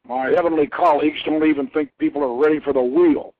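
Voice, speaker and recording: Man voicing a booming blacksmith god, phone-like sound. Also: steady tone, fast, lightly acted. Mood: wry. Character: mighty male blacksmith god, jovial, commanding, shrewd. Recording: muffled, thin phone-like radio line.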